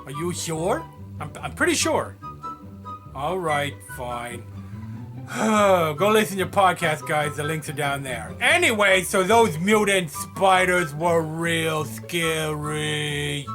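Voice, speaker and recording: A man's voice: fairly high pitch, expressive, takes it slowly, over music, pitched below the performer's own voice. A man voicing a cute, childlike mascot host who rambles naively and hesitantly through a comic skit, eager to please. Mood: sheepish.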